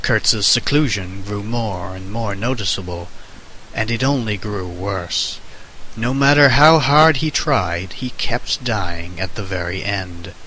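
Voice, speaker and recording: A man's voice: on the low side, slow pace, sharp tone, poor audio. A man giving an amateur dramatic reading of a comic essay in a mock-serious, theatrical manner. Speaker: amateur reader. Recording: hissy amateur microphone recording.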